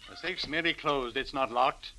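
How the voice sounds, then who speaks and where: A man's voice: mid-range voice, normal speed, noisy background, natural voice. An actor playing a stern, condescending, wealthy older man, formal and righteous, in 1940s radio drama style. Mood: businesslike.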